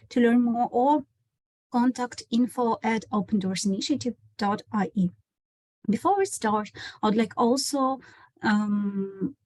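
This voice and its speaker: A woman program coordinator opens a webinar as host, speaking carefully and haltingly with many fillers over a video call. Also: medium pitch, low-quality recording. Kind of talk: webinar introduction.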